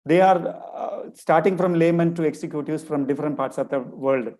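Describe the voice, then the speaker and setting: A man's voice: on the high side, normal speed, darkish tone. A man from India with a Tamil English accent and a slight Malayalam influence, giving an earnest webinar talk over a video call and often repeating his key phrases. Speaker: guest lecturer. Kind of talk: webinar talk answering a question.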